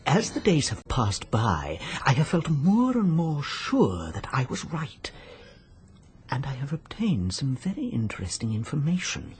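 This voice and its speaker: A man's voice: medium pitch, huge pitch swings, measured pace. A male actor with a British accent playing a fussy, obstinate busybody in a radio drama, hesitant, prim and over-polite. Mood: insistent. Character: fussy, apologetic, obstinate little busybody gentleman.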